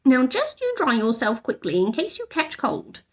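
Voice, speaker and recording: A woman voicing an excitable, caring but bossy young girl in a storybook, urgent and exclamatory. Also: high voice, moderate pace, voice pushed up, heavily acted. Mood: scolding.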